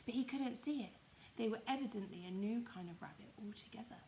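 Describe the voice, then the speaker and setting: A woman's voice: on the high side, fairly quick, a little mellow, a little hiss or hum. A woman reading a children's story expressively, with emphatic, dramatised phrasing. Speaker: primary school storyteller.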